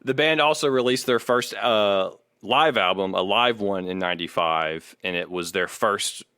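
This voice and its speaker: A man's voice: lowish voice, moderate pace, bright, forceful tone, clean tone, no echo, clean studio sound. A man co-hosting a podcast, narrating music history casually and informally with an amused streak. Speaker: podcast co-host.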